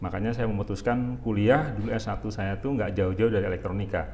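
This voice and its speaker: Unhurried middle-aged man from Jakarta. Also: fairly low pitch, fairly even intonation, a little mellow, slight background noise. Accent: Jakarta Indonesian. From Jakarta, Indonesia. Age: 40s. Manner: unhurried, halting, many fillers. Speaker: university rector, guest. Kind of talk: casual interview talk.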